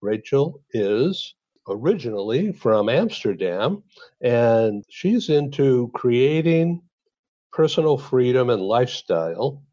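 A male talk show host speaks in a formal, measured way as he introduces his guest. The sound is very dry, with no room echo. It is also a bit dull, as if it came through a remote link. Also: medium pitch, big pitch swings, dark tone. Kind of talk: scripted introduction, interview questions. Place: San Diego.

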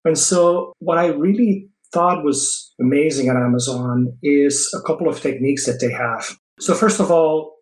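A man's voice: fairly high pitch, moderate pace, hardly any pauses, poor audio. A man from Belgium whose first language is Dutch, speaking with a Belgian Dutch accent as an earnest, enthusiastic interview guest who often adds "you know" and "right?". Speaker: guest, HR executive. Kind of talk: interview conversation.

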